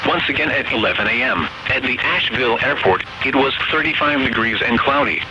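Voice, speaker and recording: A synthetic male voice with an American accent reads scripted weather bulletins as an announcer, formal, measured and impersonal. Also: mid-range voice. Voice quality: synthetic text-to-speech voice. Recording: dull, noisy weather radio broadcast.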